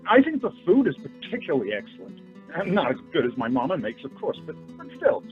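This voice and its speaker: An actor playing an earnest, polite young suitor in a radio play, courteous but halting and flustered. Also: male voice, fairly high pitch, wildly swooping pitch. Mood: nervous, courting. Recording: tinny phone line with music behind.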